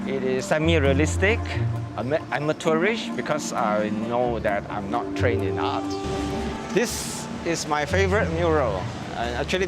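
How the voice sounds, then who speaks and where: A Singaporean man in his fifties, a muralist, speaking gently and self-deprecatingly in a documentary interview, chuckling often. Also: mid-range voice.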